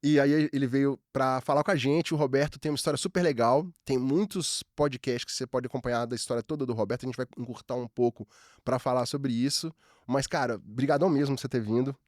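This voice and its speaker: A man hosting a casual podcast who talks fast and loose, full of slang and fillers. His voice is mid-pitched and recorded close and dry on a studio mic. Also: fairly even intonation, no echo. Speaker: podcast host.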